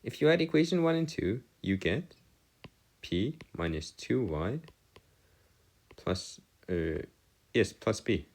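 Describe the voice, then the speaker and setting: A man giving a step-by-step math lesson as a tutor, halting and pausing to check himself, with formal, bookish wording. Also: on the low side, animated. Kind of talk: lesson, working through equations step by step.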